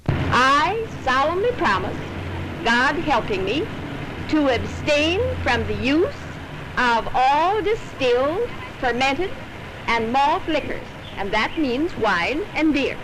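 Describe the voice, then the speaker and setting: A woman recites a solemn pledge in a high, deliberate, declamatory voice. She speaks slowly with broad rises and falls, on old archival newsreel sound. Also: very animated, noisy background. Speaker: temperance activist.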